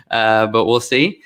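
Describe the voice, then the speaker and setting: A man's voice: fairly low pitch, a bit bright. Upbeat man hosting a live web show. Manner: friendly, upbeat, fumbles words. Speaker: host. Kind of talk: live online interview. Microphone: video call.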